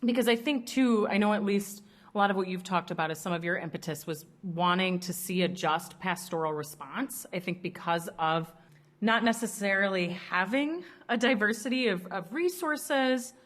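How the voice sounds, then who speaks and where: A woman's voice: medium pitch, expressive, normal speed, fairly bright tone. A young woman from a Chicago suburb with a strong American Midwest accent, chatting casually as an interview guest. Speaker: podcast guest, ministry co-founder. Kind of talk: casual conversational interview answers.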